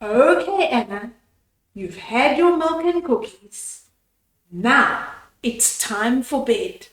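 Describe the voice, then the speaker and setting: A woman voicing a kindly old grandpa rabbit in a children's storybook, coaxing in a gentle sing-song manner. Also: on the high side, animated, slow pace, roomy sound, rough recording. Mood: gently coaxing. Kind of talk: children's storybook read-aloud.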